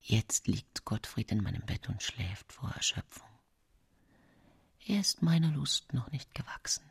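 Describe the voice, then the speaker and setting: An actress playing a scheming, sensual nineteenth-century widow in a radio drama monologue, intimate and breathy in her confiding. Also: extremely low pitch, very animated, measured pace, in a whisper, full character voice. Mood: dreamy, possessive. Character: scheming early-19th-century German widow, sensual and self-satisfied. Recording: music bed behind the voice. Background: music.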